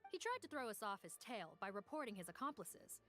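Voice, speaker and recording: A woman's voice: mid-range voice, sing-song, fairly bright tone. Composed policewoman giving a report, music behind. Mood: firm. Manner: formal, measured, matter-of-fact. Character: composed female police officer reporting on a case. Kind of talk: scripted video game dialogue.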